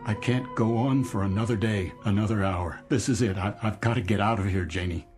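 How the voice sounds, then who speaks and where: A low-pitched, desperate businessman played by a male actor in a radio drama. He is weary and hesitant, with a pleading strain in how he talks. Dramatic music plays clearly behind him. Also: fairly quick, lightly acted. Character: weary, desperate businessman husband heading home, near breakdown. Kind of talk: dramatic radio-play acting.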